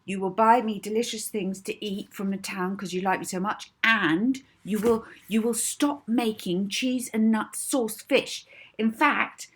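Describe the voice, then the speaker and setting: A woman voicing a young girl hypnotist in an audiobook, speaking in a deliberate, coaxing and commanding way. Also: mid-range voice, slightly rough, pitched above the performer's own voice. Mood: firm, commanding. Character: young orphan girl hypnotist, soothing, bold, bossy. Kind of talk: audiobook character voice.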